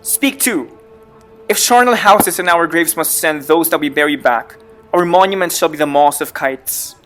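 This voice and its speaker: A man's voice: a little high, moderate pace, a bit bright, soft background music, close to an everyday voice. An actor playing a guilt-haunted, raving king in a dramatic reading of Shakespeare. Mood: terrified, raving.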